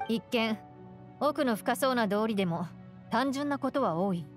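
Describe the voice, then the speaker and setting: Serious, higher-voiced young woman. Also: lively intonation. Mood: composed. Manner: matter-of-fact, measured. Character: composed, serious young woman, sensible deputy of gang. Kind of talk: anime game voice acting. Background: music.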